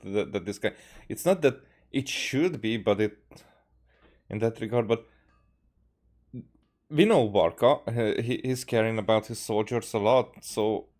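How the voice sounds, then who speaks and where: A man from Hungary giving casual game commentary, halting and repeating words as he thinks aloud. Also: fairly low pitch, a little mellow. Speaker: game streamer.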